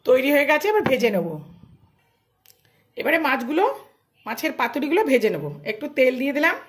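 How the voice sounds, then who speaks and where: A woman's voice: high-pitched, expressive, fairly quick. A woman, a home cook narrating a recipe step by step in plain, short, casual phrases. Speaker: home cook hosting a cooking channel.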